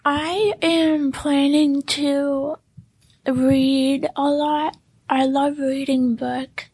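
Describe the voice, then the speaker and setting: Young woman, high-pitched and slow. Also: level tone, darkish tone, no echo. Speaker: podcast team member. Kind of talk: reading facts and casual chat.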